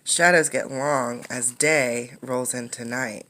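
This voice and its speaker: A woman reading a picture book aloud as a teacher, in an instructive way, pausing to explain and ask questions. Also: very deep voice, fairly even intonation, bright, forceful tone, a little hiss or hum.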